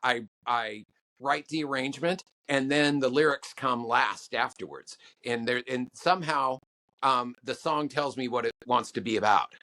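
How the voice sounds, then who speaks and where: A man being interviewed as a songwriting guest, talking in halting stops and restarts with many fillers. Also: bright, forceful tone, poor audio. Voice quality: low voice. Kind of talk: podcast interview answers.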